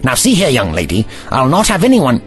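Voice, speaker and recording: A man's voice: medium pitch, wildly swooping pitch, a little fast, faint music behind. A man playing an older, commanding and protective time traveler in an audio drama, speaking formally and precisely in an avuncular way. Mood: stern, urgent. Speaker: actor in a sci-fi audio drama.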